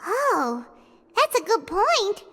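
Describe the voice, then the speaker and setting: A woman voicing a small, naive, excitable fairy companion in a video game, with a squeaky childlike voice. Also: takes it slowly, full character voice. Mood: curious. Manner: exclaiming, refers to self in third person. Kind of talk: video game character acting. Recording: music behind the voice. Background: game background music.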